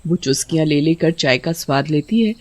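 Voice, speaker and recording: A woman's voice: low voice, animated, brisk, a little mellow, dry recording. A woman narrating a scripted story as its storyteller.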